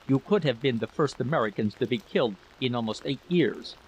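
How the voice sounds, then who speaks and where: A man's voice: mid-range voice, animated, fairly quick, close to an everyday voice, rough recording. Male reader as courteous senior officer in a sci-fi tale, middle register, slight hiss behind. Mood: stiff regret. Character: formal, regretful senior Russian colonel, Borodoy.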